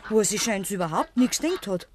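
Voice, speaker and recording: A woman's voice: mid-range voice, expressive, fast, a little mellow, close to an everyday voice. An actress playing an eager, gossiping village woman with a Bavarian accent in a 1950s dialect radio play.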